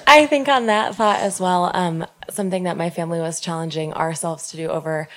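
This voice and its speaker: A woman in a church group sharing a thoughtful, measured reflection on gratitude. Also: fairly low pitch, steady tone, fairly bright tone. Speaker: congregation member.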